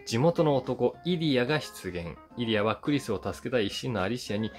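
A man's voice: medium pitch, lively intonation, moderate pace. A man in his forties chatting casually to camera as he reviews a film, conversational and emphatic. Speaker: YouTube host reviewing films, voice actor. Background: background guitar music.

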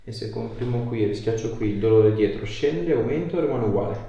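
A man's voice: on the low side, expressive, fairly quick, dark tone, lo-fi sound. A man in his thirties working as a chiropractor, giving a patient short, hands-on instructions. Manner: short directive phrases. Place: small indoor treatment room. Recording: echoey room with faint noise.

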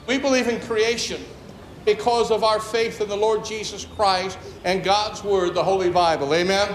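High-voiced male pastor preaching in church. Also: fairly even intonation, moderate pace, over music. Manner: emphatic, declarative preaching. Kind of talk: sermon. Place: church sanctuary with a congregation. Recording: roomy, echoing church with some noise.

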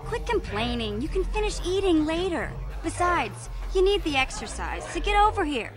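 A high, youthful voice for a streetwise alien girl in a video game. She talks brashly and slangily, full of sass. Music plays clearly behind her. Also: sing-song, normal speed. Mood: sassy, annoyed. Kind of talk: video game character dialogue.

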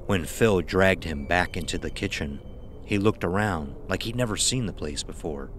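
A man's voice: deep voice, moderate pace, a bit bright, a little hiss or hum. A man narrating a scripted horror audio drama as its storyteller.

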